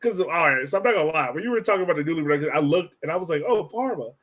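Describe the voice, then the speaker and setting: A man hosting a podcast in a casual sports chat, informal and slangy, telling things in an animated way. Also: on the high side, rapid-fire, over a noisy crowd. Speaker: podcast host.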